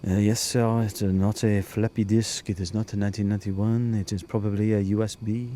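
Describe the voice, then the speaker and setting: A man's voice: on the low side, level tone, fairly quick, mellow, slight background noise, close to an everyday voice. A man voicing a weary, increasingly exasperated computer helpline operator with a French accent in a comic audio drama.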